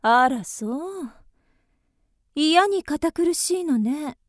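A teasing, forward young geisha in a radio drama. Her voice is high and unhurried, coy and probing in old-fashioned feminine phrasing. The sound is dry, with faint music behind. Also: lively intonation, dry recording, exaggerated voice.

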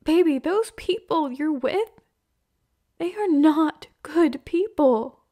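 A young woman playing a hurt, heartbroken girlfriend in an emotional, halting ASMR roleplay monologue. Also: sits high, lively intonation, mellow. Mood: sad, pleading.

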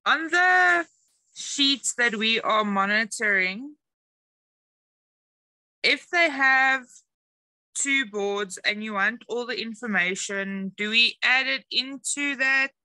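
A woman asks her question over a video call in a slow, hesitant way. Her voice is high and very bright, with a dry, close sound. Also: dry recording, poor audio. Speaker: participant asking a question.

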